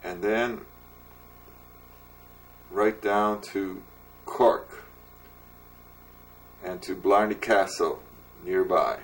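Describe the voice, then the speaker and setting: A man's voice: low-pitched, steady tone, drawn-out words, long pauses, poor audio. A man narrating a travel vlog in a wry, chatty way. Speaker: travel vlogger.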